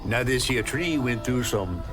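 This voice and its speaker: A man's voice: medium pitch, expressive, a little slow, music in the background, natural voice. A man voicing a fussy, genteel talking creature from a video game, with a folksy, theatrical Southern American accent. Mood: folksy, instructive. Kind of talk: video game character dialogue.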